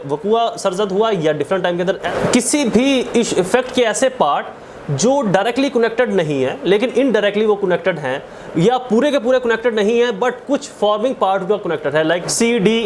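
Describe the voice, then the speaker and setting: High-pitched Pakistani man giving a lecture. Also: a little hiss or hum. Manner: didactic, repeats points and asks rhetorical questions. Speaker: law lecturer. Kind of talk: explanatory lecture with worked examples, mixing in English legal terms.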